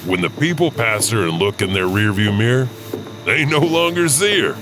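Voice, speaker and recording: Casting: male host for urban legend narration, very low register, theatrical and spooky, clear background hum on the recording. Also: lively intonation, moderate pace. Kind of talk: scripted spooky storytelling narration.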